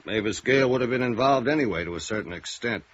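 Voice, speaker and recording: Businesslike cop played by a male actor, mid-pitched and probing, vintage radio drama with dull archival sound. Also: lively intonation, fast, a little hiss or hum, close to an everyday voice. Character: matter-of-fact, questioning police sergeant. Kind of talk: 1950s radio drama acting.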